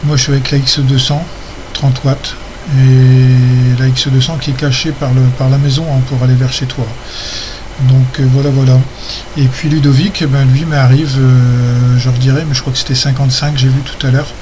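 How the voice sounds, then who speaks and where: Man, amateur radio operator, casual, hesitant rambling, mid-pitched, clearly noisy recording. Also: little pitch movement, moderate pace. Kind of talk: casual ham radio chat.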